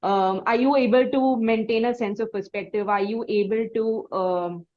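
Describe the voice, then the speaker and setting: A woman in her thirties with an Indian accent, asking interview questions conversationally, choosing formal words carefully and haltingly. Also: on the high side, steady tone, highs cut off. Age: 30s.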